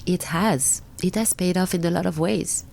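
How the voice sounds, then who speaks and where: A woman's voice: on the low side, normal speed, gravelly, a little mellow, slight background noise. A woman with a French accent, speaking French natively, talking earnestly as a guest in an interview and repeating her phrases. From France. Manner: earnest, repeats phrases, laughs between points. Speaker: actress, guest. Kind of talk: casual interview conversation.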